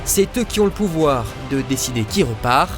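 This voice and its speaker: A man's voice: medium pitch, expressive, normal speed. A man hosting a race show, reading a suspenseful scripted voice-over. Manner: suspenseful, announcing. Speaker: show host. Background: music.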